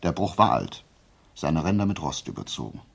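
Radio play detective, shrewd, didactic, gravelly fry, animated intonation, deliberate reasoning. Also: male voice, heavily acted. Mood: measured, precise. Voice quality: low, gravelly, husky. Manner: deliberate, didactic, checking in with short questions. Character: shrewd amateur detective methodically explaining a murder case. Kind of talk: radio play acting, conversational deduction.

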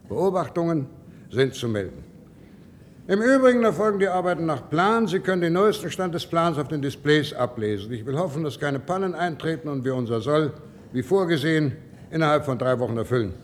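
An actor playing an authoritative expedition leader giving formal, measured instructions in a science-fiction radio drama. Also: male voice, a little high, close to an everyday voice. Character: formal expedition leader briefing his men. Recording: long hall reverb, faint noise.

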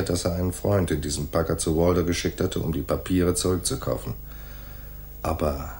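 A man's voice: deep voice, a little mellow. A man playing a laconic hardboiled private detective in a radio noir drama, dry and matter-of-fact. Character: laconic hardboiled male private detective narrating his case. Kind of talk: 1980s radio noir narration and dialogue.

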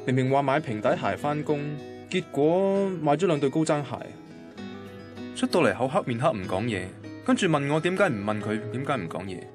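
An actor playing an anxious, defensive young boyfriend from a Cantonese radio drama, conversational and grumbling, with a Hong Kong accent. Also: male voice, mid-range voice, expressive, fairly quick, natural voice. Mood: reflective, uneasy. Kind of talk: radio drama first-person narration and dialogue. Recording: guitar music behind the voice. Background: guitar music.

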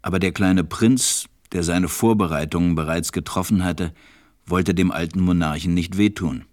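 A man narrating a scripted children's story in a German radio play, with measured storytelling. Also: deep voice, a little mellow, no echo, studio quality.

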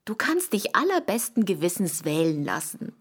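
A husky female voice of middling pitch plays a calculating adviser in an intimate radio drama. She stays controlled and persuades coolly. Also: very animated, moderate pace. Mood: cool, reassuring. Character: cool, calculating female confidante of a candidate.

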